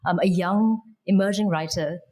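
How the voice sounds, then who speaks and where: A woman's voice: lowish voice, moderate pace, a little mellow. A woman moderating a literary festival conversation, asking polite, searching questions with many ums and restarts. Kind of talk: onstage interview.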